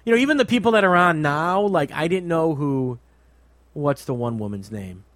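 A man with a notably high voice chats casually as a podcast co-host. He is blunt and profane, rambling and cutting into his own sentences. The sound is dry and close, with little room around it. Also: animated, moderate pace, fairly bright tone, dry recording. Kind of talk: casual chat, reviewing a book.

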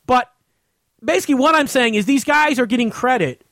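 An American man hosting a casual comedy chat, excitable and insistent about his points. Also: very high voice, fairly quick, no echo, studio quality. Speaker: radio show host.

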